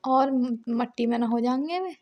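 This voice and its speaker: High-voiced young woman, teasing casual chat. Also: moderate pace, muffled recording. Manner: teasing, playful. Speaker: girlfriend on a phone call.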